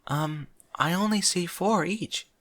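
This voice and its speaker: A man voicing a gentle, timid young female cartoon pony with a soft, hesitant way of talking, in a story reading. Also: sits high, slow pace, voice pushed up, exaggerated voice.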